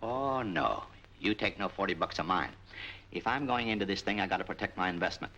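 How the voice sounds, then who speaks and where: An actor playing a suspicious, tight-fisted small-time trader, American, blunt and slangy in 1940s film noir style. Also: male voice, deep voice, lively intonation, a little fast, sharp tone, highs cut off, full character voice. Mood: stubborn. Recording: noisy old 1940s film soundtrack.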